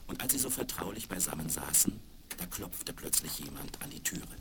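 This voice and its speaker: A man's voice: very deep voice, animated, normal speed. A man narrating a scripted fairy tale for children as storyteller, on a crackly 1970s vinyl record.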